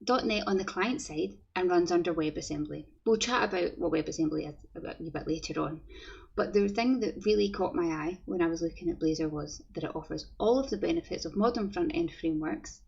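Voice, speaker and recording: A woman's voice: fairly low pitch, a little fast, vocal fry, husky, muffled recording. Chatty Glasgow woman giving a tech talk. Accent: Scottish, Glasgow. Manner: friendly, chatty, repeats words as she goes. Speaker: conference speaker, developer. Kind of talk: online conference talk with slides.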